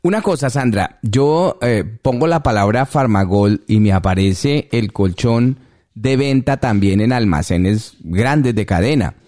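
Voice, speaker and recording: A man's voice: medium pitch, expressive, a little slow, darkish tone. A man hosting a radio interview, asking questions and dropping brief "ujú" acknowledgements. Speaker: radio host.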